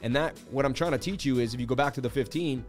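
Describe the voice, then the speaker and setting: Host: man, going hoarse, boastful, excitable trading streamer, faint music bed behind. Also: medium pitch, quick pace. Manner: hyped, boastful, laughing banter with chat. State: losing his voice. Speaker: crypto livestream host. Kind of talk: casual livestream market commentary.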